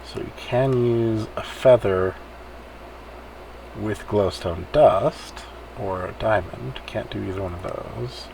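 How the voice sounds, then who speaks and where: Male gamer, lowish gravelly voice, casual commentary, thinks aloud, audible background noise on the recording. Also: takes it slowly. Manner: thinking aloud, muttering step by step. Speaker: gamer hosting a server event.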